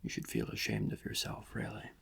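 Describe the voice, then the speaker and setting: A man playing a gloating, theatrical criminal mastermind in a soft-spoken ASMR roleplay, breathy and often near whispering, with pompous, mock-polite, drawn-out musing. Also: natural voice. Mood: gloating, menacing. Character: gloating, theatrical criminal mastermind villain, supremely confident yet cautious.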